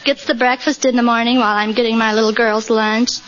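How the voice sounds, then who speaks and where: A woman's voice: on the high side, level tone, fairly quick, crowd noise. A woman answering casually as a contestant on a quiz show. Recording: muffled old radio recording, noisy, loud audience.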